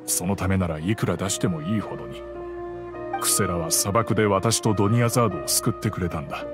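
An actor playing a middle-aged, fatherly master of a wealthy house in an anime game, measured, gentle and remorseful. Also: sits low, natural voice. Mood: earnest, fatherly. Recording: soft music behind the voice.

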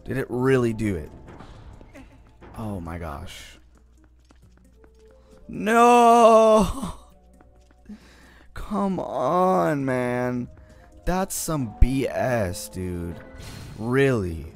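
A young man with a raspy morning voice giving casual let's-play commentary as he plays, often groaning in frustration at the game. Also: medium pitch, sing-song, extremely slow, long pauses, faint music behind. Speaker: gaming channel host.

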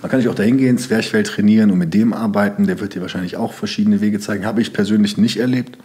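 A man's voice: on the low side, quick pace, mellow. A young man from Germany, speaking as a podcast guest in loose, rambling sentences full of fillers. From Germany (Sauerland). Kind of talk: casual interview talk.

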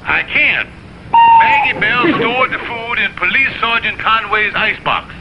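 A man's voice: very high voice, huge pitch swings, unhurried, background noise, exaggerated voice. A man playing a blustering, wheedling fox con artist with a Southern US accent in an old TV cartoon. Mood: worried scheming. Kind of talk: 1960s TV cartoon voice acting. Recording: dull vintage TV audio with music.

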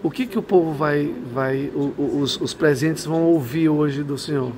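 Hesitant Brazilian interviewer, slightly high voice. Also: male voice, normal speed, background noise. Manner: hesitant, repeats words. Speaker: blog interviewer. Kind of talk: interview questions.

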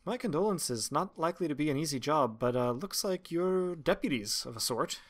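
A man's voice: fairly high pitch, expressive, moderate pace, voice pushed up. A man voicing a matter-of-fact, helpful local with a casual, folksy manner in a fantasy game. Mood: dry, practical.

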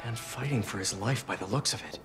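A man's voice: lowish voice, a little fast, over music, close to an everyday voice, rough recording. A man acting an earnest, concerned young superhero in a video game cutscene. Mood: pensive, investigating.

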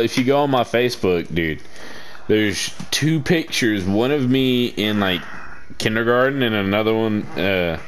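A young man with a fairly deep voice, chatting casually and rambling while playing a game, slangy and self-mocking. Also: slight background noise. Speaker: gaming channel co-host.

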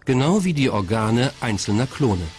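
A man's voice: mid-range voice, very animated, normal speed, darkish tone, slight background noise. A man reading scripted documentary narration in the role of narrator.

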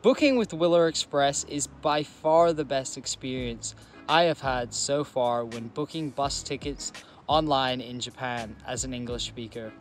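Man narrating a calm travel review. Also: on the high side. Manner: measured, matter-of-fact reviewing. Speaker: travel vlogger. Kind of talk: scripted voice-over narration.

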